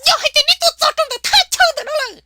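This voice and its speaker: Squeaky, piercing cartoon character. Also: female voice, rapid-fire, heavy background noise. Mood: chattering. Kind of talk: comic cartoon voice acting.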